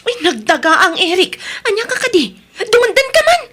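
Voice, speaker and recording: A woman's voice: very high-pitched, big pitch swings, a little fast, over music. A woman playing an unhappy, resolute wife pleading in a radio drama, with an Ilocano Filipino accent. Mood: surprised, impatient. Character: unhappy wife pleading firmly for her freedom.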